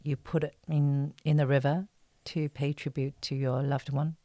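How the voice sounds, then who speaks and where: A woman from China with a Chinese accent, chatting in an interview and often pausing to search for words. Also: deep voice, little pitch movement, mellow, dry recording. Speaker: interview guest, actress and writer. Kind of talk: casual interview chat.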